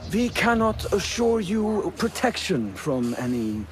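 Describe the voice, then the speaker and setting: An actor playing a cautioning government envoy in a video game, formal and grave. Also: male voice, high-pitched, sing-song, takes it slowly, soft background music, heavily acted. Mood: grave, warning. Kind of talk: video game cutscene acting. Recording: faint background ambience noise.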